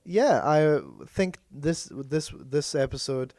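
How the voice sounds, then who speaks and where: A man co-hosting a casual chat podcast, hoarse and losing his voice from being sick, talking in a meandering, hesitant way that often corrects itself. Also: fairly high pitch, slow, a little mellow, no room sound, clean studio sound. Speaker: podcast co-host.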